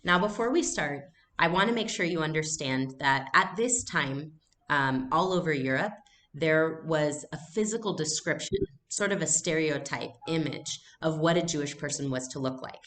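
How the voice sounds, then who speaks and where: Low-pitched woman reading aloud as teacher. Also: expressive, normal speed, fairly bright tone. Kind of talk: read-aloud narration with explanation.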